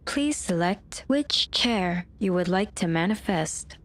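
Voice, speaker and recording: A woman's voice: medium pitch, expressive. A woman voicing a polite, impassive helmet computer that gives formulaic announcements in an audio drama. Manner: formulaic, measured system announcements.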